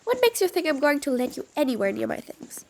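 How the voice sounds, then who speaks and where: A woman's voice: high-pitched, very animated, quick pace, a little mellow, a little hiss or hum. A woman playing a mean but patient supervillain in an audio roleplay, sarcastic, dry and exasperated, speaking straight to the listener. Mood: grudging, teasing. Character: mean but patient female supervillain, sardonic, grudgingly soft.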